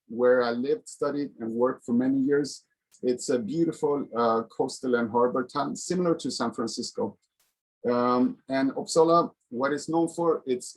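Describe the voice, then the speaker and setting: A middle-aged man from Sweden, an engineer giving an online colloquium presentation over a video call, speaking in a halting, earnest way with many pauses. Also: mid-range voice, poor audio.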